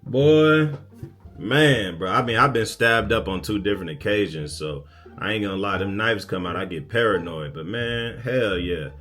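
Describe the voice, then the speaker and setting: Low-voiced man giving casual, slangy commentary. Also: moderate pace, a bit bright. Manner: slangy, conversational, emphatic. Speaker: reaction video host.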